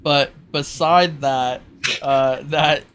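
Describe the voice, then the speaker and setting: A man's voice: on the high side, fairly even intonation, drawn-out words, fairly bright tone, a little hiss or hum. A man running a tabletop roleplay as game master, explaining rules and backstory in a loose, informal way. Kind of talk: casual improvised tabletop game narration.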